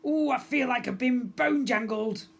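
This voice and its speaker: A man voicing a crotchety, bewildered old goblin skeleton pirate captain with a croaky voice in a storybook reading. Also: very high voice, unhurried, pitched above the performer's own voice, exaggerated voice. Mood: bewildered, grumbling.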